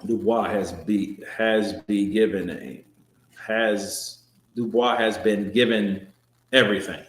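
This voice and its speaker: Casual low-voiced man in a roomy space. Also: fairly even intonation, slow. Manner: casual, joking, swears, trails off and restarts. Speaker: boxing stream host. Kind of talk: live stream chat reading comments. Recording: roomy echo.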